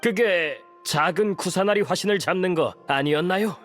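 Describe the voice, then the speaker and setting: Nervous, high-voiced timid underling. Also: male voice, normal speed, a bit bright, faint music behind. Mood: deferential. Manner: deferential, nervous, hesitant. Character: timid, apologetic male underling scholar. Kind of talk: dramatic Korean game voice acting.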